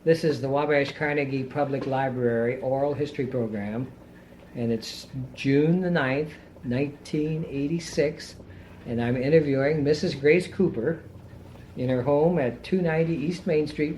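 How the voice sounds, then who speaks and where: A woman's voice: low voice, moderate pace, mellow, low-quality recording. A woman interviewing an elderly person for an oral history program, asking friendly, encouraging questions. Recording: 1980s cassette tape, faint hiss.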